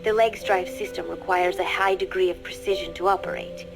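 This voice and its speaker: An actress playing a young female spy in a video game monologue, coldly resolved yet fragile, speaking in measured, deliberate phrases. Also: lowish voice, fairly even intonation, over music, lightly acted. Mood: tense, confessional. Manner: measured, deliberate, introspective confession.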